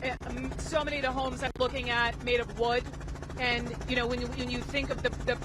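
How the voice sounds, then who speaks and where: A woman giving an unscripted live report in a halting way, repeating words as she goes. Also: little pitch movement. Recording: clear background noise, outdoor rumble.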